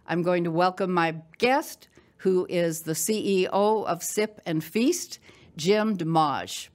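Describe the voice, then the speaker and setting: Older lady hosting a podcast, talks slow, chatty interview style. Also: on the low side. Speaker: podcast host. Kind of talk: conversational interview and sponsor read.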